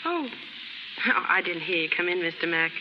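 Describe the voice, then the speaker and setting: A woman's voice: on the low side, very animated, normal speed. A brash, lovelorn young American chorus girl in a 1930s radio play. She talks in slangy, unschooled phrases. The old broadcast is hissy and muffled, with long reverb. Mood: guarded, hurt. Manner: slangy, ungrammatical.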